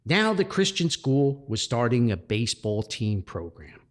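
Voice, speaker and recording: Animated folksy man storytelling. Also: medium pitch, a little slow. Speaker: podcast host, author. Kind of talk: podcast talk and story reading.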